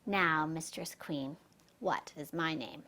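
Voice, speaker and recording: A woman's voice: low-pitched, takes it slowly, sharp tone, voice pushed down, full character voice. Woman voicing a sly, teasing little magical man. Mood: smug.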